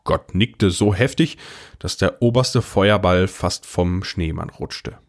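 Audio drama casting: man as deep-voiced older brother, first-person narrator with dry, understated wit. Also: takes it slowly, vocal fry, full character voice. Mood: unflappable. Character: wry, unflappable older brother narrating his story.

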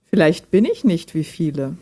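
A woman's voice: fairly low pitch, sing-song, normal speed, warm tone. A woman playing a lonely, reserved hobby programmer in an audio drama, speaking in a dry, direct way. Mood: curious, friendly. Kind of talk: amateur audio drama dialogue, read chat.

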